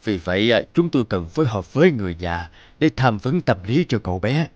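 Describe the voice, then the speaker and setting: A man playing a calm, concerned older doctor in an animated drama, measured and probing. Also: fairly low pitch, no room sound, highs cut off. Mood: serious.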